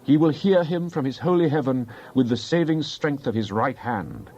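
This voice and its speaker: Male scripture reader, mid-pitched, solemn and declamatory, on slightly muffled audio. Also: normal speed, a little mellow. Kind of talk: dramatized Bible reading.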